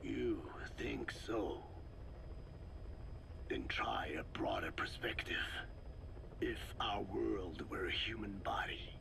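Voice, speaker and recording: A man playing an aged, wise, grave scientist in a video game, explaining formally in a breathy, gravelly rasp. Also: on the low side, noisy background. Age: older. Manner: slow, deliberate, formal, didactic.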